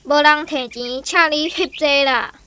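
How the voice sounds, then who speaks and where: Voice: high voice, steady tone, a little slow, bright, forceful tone, a little hiss or hum. A performer voicing an eager, cheeky young country boy in a Taiwanese radio drama. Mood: puzzled, blunt.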